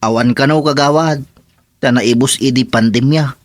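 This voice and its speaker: A man's voice: mid-range voice, normal speed, no room sound, lightly acted. Man as a resigned rural farmer, dry sound. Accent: Ilocano. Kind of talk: Ilocano radio comedy drama acting.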